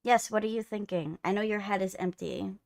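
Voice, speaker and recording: A woman's voice: mid-range voice, brisk, gravelly, darkish tone. A woman hosting a livestream in casual chat, teasing her co-host playfully, close on the mic.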